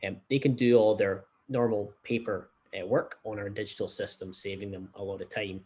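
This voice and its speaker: A man from Scotland with a noticeable Glasgow accent and a somewhat low voice. He talks in a measured, explanatory way, dropping in the occasional "um". He sounds like a guest on a remote interview call. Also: fairly even intonation, darkish tone, husky. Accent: Scottish, Glasgow. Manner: measured, explanatory, frequent fillers. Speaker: startup founder, guest. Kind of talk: conversational interview.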